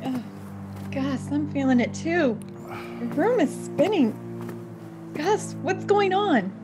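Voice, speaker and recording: A seductive mistress in a radio drama. Her voice is high and she is teasing and insistent, with faint music behind her. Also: expressive, slow. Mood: alarmed. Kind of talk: radio play acting.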